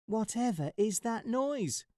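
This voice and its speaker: A tiny squeaky defiant mouse. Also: male voice, expressive, slow, mellow, no echo, studio quality. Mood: curious.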